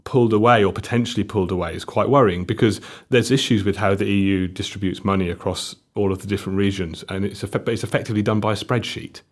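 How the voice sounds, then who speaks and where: A young man from South Wales with a South Welsh accent, explaining economics on a discussion panel in a measured way that stumbles and restarts. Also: fairly low pitch, steady tone. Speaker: panel guest.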